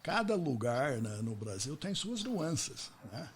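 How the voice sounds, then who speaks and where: A man's voice: a little high, very animated, slow, breathy edge. Man chatting in Portuguese. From United States. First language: English. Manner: chatty, checks in with tag questions. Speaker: podcast host. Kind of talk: casual interview chat.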